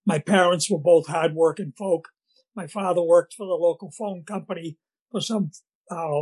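Older man, Boston accent, fairly high voice, scratchy, halting, personal recovery story. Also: darkish tone, husky. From Massachusetts, USA. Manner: halting, with many fillers and restarts. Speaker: speaker at a recovery meeting.

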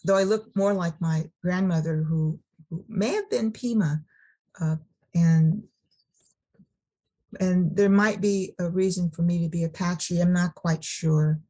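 A middle-aged woman from California sharing her personal story at a recovery meeting, halting, with many restarts and repeated words. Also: fairly low pitch, steady tone, mellow, low-quality recording. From Sacramento, California.

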